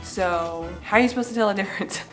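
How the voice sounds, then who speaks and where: Woman, 20s, home vlogger with a cold; nasal and slightly raspy, casual and chatty, faint music bed. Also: medium pitch, fast talker, fairly bright tone. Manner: chatty, gestures with hands while explaining. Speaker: mom vlogger. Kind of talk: casual parenting vlog to camera. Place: bright living room indoors.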